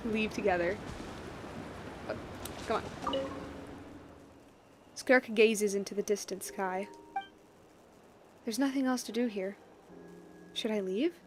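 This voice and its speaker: A woman live-streaming a game, chatting casually and reacting out loud to the story in an expressive way. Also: mid-range voice, takes it slowly, long pauses, a little hiss or hum. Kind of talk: casual live game stream commentary, reading dialogue aloud.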